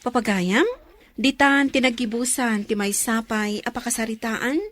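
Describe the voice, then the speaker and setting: A woman's voice: a little high, fairly even intonation, normal speed, a bit bright. A woman with an Ilocano accent giving scripted inspirational narration as the program's narrator.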